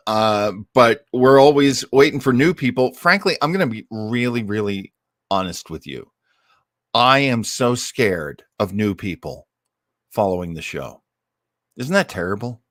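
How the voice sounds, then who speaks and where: A man's voice: medium pitch, fairly bright tone, no room sound, clean studio sound. Rambling, self-deprecating man hosting casually. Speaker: host of an old-time radio listening show. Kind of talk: casual livestream chat.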